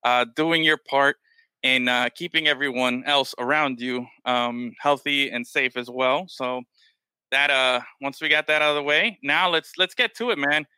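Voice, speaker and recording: A man's voice: medium pitch, normal speed, sharp tone, smooth, no room sound. A man hosting a casual podcast, talking loosely and peppering his talk with "man" and "bro". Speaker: podcast host. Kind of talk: casual chat.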